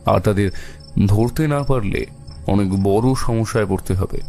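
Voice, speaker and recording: A man playing a composed detective who gives brisk orders to his companions in an audio story. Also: fairly low pitch, mellow, slight background noise. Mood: serious, instructing.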